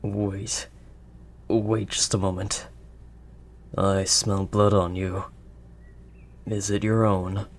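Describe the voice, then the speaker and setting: A man voicing a gruff but kindly werewolf of the wild woods in an intimate audio roleplay, with a halting, gently protective manner. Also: on the low side, flat delivery. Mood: wary. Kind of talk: audio roleplay, intimate second-person acting.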